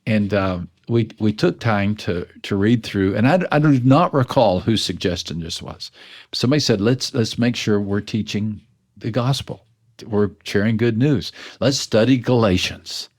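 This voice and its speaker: A man with a low, deep voice, talking as a pastor in an interview. He tells his story in an earnest, self-deprecating way, restarting his sentences as he thinks. The feel is relaxed, reflective conversation. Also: a little slow. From West Texas. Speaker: pastor and author, interview guest.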